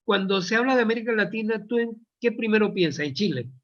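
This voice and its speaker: Male interviewer, pretty high voice, polite chatty questions, muffled lofi online call audio. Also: animated, fast talker, a bit bright. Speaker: interviewer, book fair presenter. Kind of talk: conversational interview, reading audience comments.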